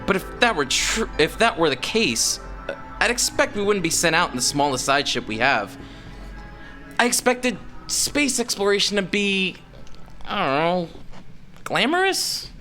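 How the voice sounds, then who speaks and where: Bright, jittery, anxious spaceship pilot, as acted. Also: mid-range voice. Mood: nervous, chatty. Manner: nervous, rambling, sarcastic. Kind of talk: scripted audio drama acting.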